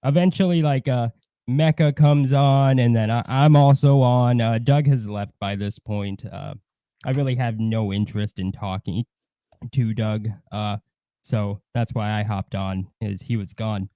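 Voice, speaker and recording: Mellow, halting man chatting on a livestream. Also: mid-range voice, steady tone, clear, resonant tone, dry recording. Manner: rambling, halting, frequent swearing. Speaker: co-host of a livestream. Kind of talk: casual live commentary and gossip.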